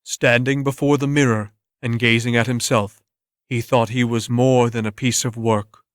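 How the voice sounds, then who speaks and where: A man reading a scripted story as narrator, in a measured way with dramatic pauses. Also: medium pitch, flat delivery, no room sound, studio quality.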